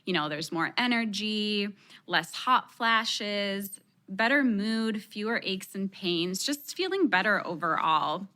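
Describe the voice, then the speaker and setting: A woman's voice: mid-range voice, animated, slow, pushed and bright. A woman in her thirties from Texas, raised speaking Spanish first, chatting enthusiastically as a dietitian guest on a radio talk show.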